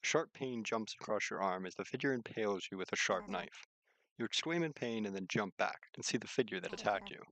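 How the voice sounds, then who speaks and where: Male game streamer, lower register, close dry sound, narrating on-screen prose with offhand live commentary. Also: expressive, brisk, a bit bright, no echo. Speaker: streamer reading a visual novel aloud. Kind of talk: narrated read-aloud with casual live commentary.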